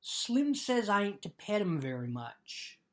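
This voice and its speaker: A woman playing a simple, trusting male American ranch laborer in a dramatic reading, speaking in earnest, halting rural vernacular. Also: medium pitch, big pitch swings. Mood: eager, simple. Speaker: actor.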